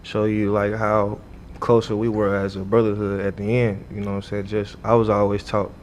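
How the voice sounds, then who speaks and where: A man's voice: level tone, moderate pace, a little mellow. A young man, a college athlete, chatting casually as an interview guest. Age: young adult. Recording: background noise with low rumble.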